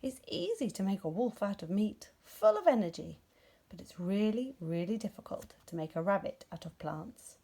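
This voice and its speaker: A woman's voice: mid-range voice, big pitch swings, normal speed, mellow, husky. A woman voicing a grumpy, proud male rabbit in a children's story, explaining himself with indignant bluster.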